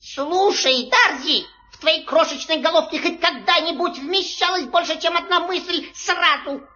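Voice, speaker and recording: A woman's voice: very high voice, a little slow, sharp tone, heavily acted. A woman playing a brave, boastful and impatient young male mongoose in an audio fairy tale. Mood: impatient, commanding. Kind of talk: Soviet audio fairy-tale acting on record. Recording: dull old vinyl record sound.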